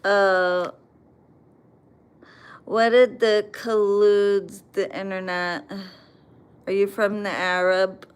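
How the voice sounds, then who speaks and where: A woman's voice: medium pitch, level tone, drawn-out words, pauses often, clear, resonant tone. An American woman chatting bluntly on a livestream, reading viewer comments and answering them with plenty of swearing. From United States. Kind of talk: casual livestream chat.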